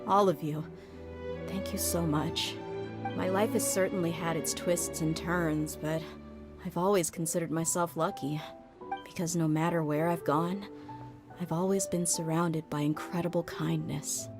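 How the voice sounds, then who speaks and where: A tough, frank mercenary woman speaks in a fairly low register. Her delivery is measured, reflective and heartfelt, in dramatic video game style, with music clearly playing underneath. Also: steady tone. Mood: resolved, grateful.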